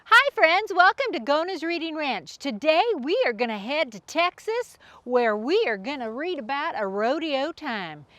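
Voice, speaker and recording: A woman hosting a children's story time, reading aloud in an animated storybook style. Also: high voice, moderate pace, hardly any pauses, dry recording.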